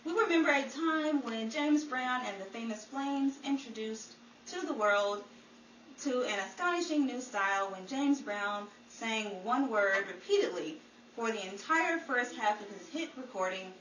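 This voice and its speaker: A woman's voice: a little high, lively intonation, a little slow, a bit bright. A woman reading scripted narration in the manner of a retrospective radio programme. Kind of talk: scripted documentary-style narration. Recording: echoey room, distant mic, faint noise.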